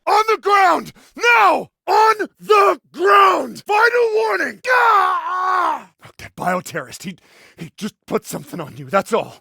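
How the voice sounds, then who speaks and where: Protective American older brother, very expressive. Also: male voice, on the high side, a little fast, creaky, breathy edge, no room sound, full character voice. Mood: anxious, reassuring. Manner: earnest, urgent, dryly sarcastic. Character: protective, exasperated older brother, a by-the-book man. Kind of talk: naturalistic video game voice acting. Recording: dry isolated game voice lines.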